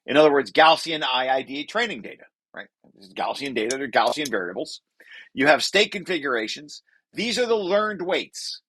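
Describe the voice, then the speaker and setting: Older man lecturing, bright forceful voice. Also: on the high side, lively intonation, moderate pace, slight background noise. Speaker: researcher presenting his paper. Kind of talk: informal technical lecture, reading through a paper.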